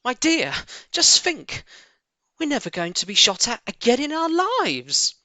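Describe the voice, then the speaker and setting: An excitable storybook rabbit acted by a man marvels to his wife. The voice is high and bright, with delighted, animated swings. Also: unhurried, dry recording, full character voice. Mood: amazed delight. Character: excitable, delighted male rabbit, devoted husband.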